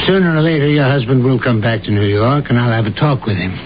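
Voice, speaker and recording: An actor playing a grave, protective lawyer who speaks formally and tactfully in an old-time radio drama. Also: male voice, mid-range voice, quick pace, natural voice. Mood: grave, sympathetic. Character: courteous, grave, protective male attorney. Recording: muffled, noisy old radio broadcast.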